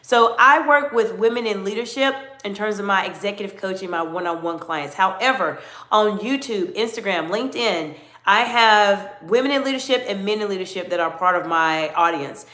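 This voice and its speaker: A middle-aged American woman coaching leaders in a livestream, animated and greeting viewers by name. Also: medium pitch, moderate pace, echoey room. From United States. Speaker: executive coach. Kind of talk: livestream talk with chat.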